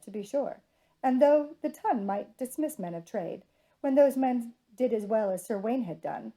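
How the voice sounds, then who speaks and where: A woman's voice: a little high, mellow. A woman reading scripted fiction narration from a storybook.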